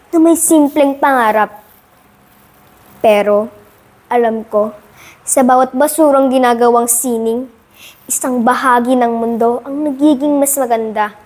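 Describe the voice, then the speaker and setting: High-voiced Filipino schoolgirl declaiming. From Philippines. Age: child, about 10 to 11 (fifth grade pupil). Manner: dramatic, emphatic, deliberate oratory with rising emphasis. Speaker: student monologue performer. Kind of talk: rehearsed declamatory monologue.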